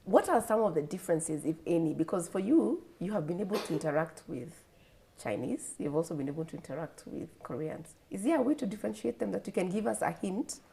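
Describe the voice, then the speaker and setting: A woman's voice: fairly low pitch, lively intonation, a little fast, creaky, dark tone, a little hiss or hum. A woman from Kenya hosting a casual interview, encouraging her guests with frequent affirmations. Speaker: host.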